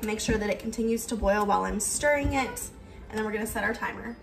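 A woman's voice: medium pitch, fast, gravelly, recorded from a distance, soft background music. A young woman casually narrating a cook-along in her home kitchen, explaining each step with friendly fillers. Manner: chatty, explaining steps with fillers. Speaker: cooking vlogger.

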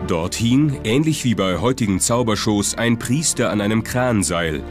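A man's voice: lowish voice, animated, normal speed. A man reads scripted documentary narration. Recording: music behind the voice. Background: music.

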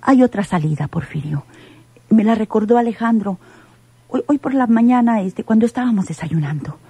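A woman's voice: on the high side, animated, moderate pace, mellow, breathy edge, dry recording, exaggerated voice. An actress with a Mexican accent playing a frightened, pleading woman in a radio drama, speaking hesitantly, as if confessing. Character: frightened, pleading woman caught between dangerous men. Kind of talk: old-time radio drama acting.

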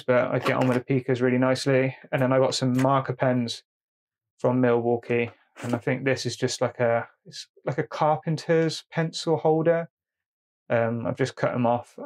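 Chatty tradesman, mid-pitched voice. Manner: chatty, repeats "really, really nice", calls tools "he". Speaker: plumber showing his tools. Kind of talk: casual show-and-tell. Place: indoor tabletop setup, speaker off camera.

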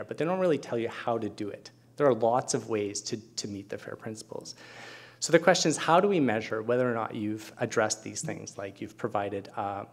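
A middle-aged man speaking as a keynote presenter at an academic conference, explaining things with conversational asides. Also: mid-range voice. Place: lecture hall at a podium, indoors.

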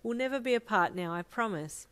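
A woman's voice: medium pitch, animated, fairly quick, voice pushed up, natural voice. Woman voicing a shy, earnest young man in love. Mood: tender. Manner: hesitant, stammering, soft-spoken.

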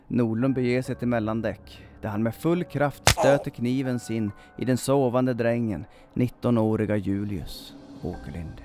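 A man in his thirties reading a scripted true-crime story in a measured, dramatic storytelling manner. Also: medium pitch, darkish tone. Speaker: storyteller, channel host. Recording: music under the voice. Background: background music.

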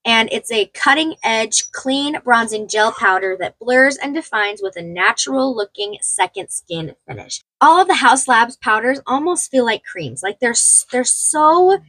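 A woman's voice: fairly high pitch, animated, a little slow, hardly any pauses, fairly bright tone, no room sound, rough recording. A young woman getting over a cold, casually reviewing makeup in a small room at home, rambling and chatty with many fillers. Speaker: beauty vlogger.

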